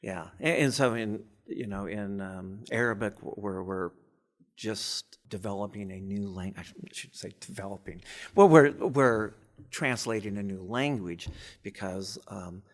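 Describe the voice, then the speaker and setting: A man's voice: fairly low pitch, measured pace. A man speaking as an expert guest in an informal interview, stammering and repeating words as he explains.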